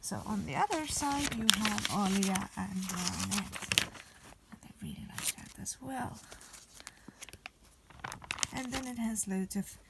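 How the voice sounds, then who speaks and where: A woman's voice: mid-range voice, measured pace, gravelly, long pauses, heavy background noise. A young woman from Estonia, chatting enthusiastically in a casual vlog voice-over. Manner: chatty, enthusiastic, repeats "really". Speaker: vlogger.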